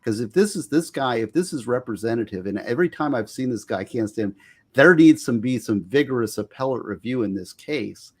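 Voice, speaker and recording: A man's voice: medium pitch, expressive, brisk, slightly rough. A man appearing as a lawyer guest on a casual video-call livestream, talking in a folksy, rambling way and giving earnest advice. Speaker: trial and appellate lawyer guest.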